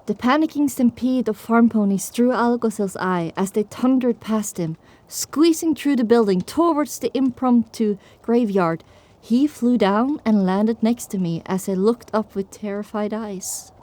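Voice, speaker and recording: Highish-voiced woman narrator, dramatic reading. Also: unhurried, mellow. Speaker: audiobook narrator. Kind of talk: dramatic scripted first-person narration.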